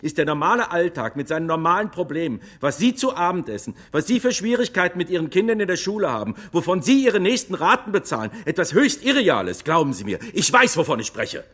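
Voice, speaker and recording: An actor playing a retired, war-weary army officer who testifies gravely and emphatically in a courtroom radio drama. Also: male voice, a little high, sing-song, quick pace, few pauses, fairly bright tone. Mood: intense, insistent.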